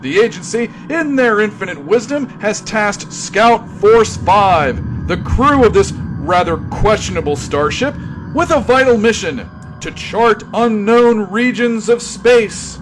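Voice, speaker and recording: A man's voice: high voice, lively intonation, takes it slowly, background noise. A man playing a droll, arch narrator of a sci-fi comedy radio drama, with theatrical hesitations. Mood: droll, wry. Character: wry, hesitant storybook-style male narrator.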